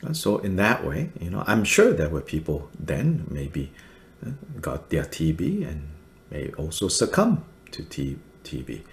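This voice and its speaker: A middle-aged man from Malaysia giving a Buddhist teaching, halting and full of fillers, with soft laughs between points. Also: extremely low pitch, huge pitch swings, vocal fry. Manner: slow, halting, many fillers, laughs softly. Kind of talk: dharma teaching commentary.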